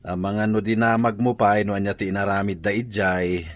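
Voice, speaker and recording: A man's voice: lowish voice, flat delivery, brisk, no room sound, natural voice. An actor playing a reproving, no-nonsense husband and father in a radio drama. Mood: skeptical.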